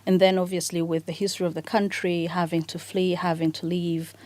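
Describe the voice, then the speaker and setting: A woman co-hosts a relaxed podcast chat, thinking aloud and restarting her sentences. Her voice sits on the low side. The sound is close and dry. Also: a little fast, no room sound. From Rwanda. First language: Kinyarwanda. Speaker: co-host.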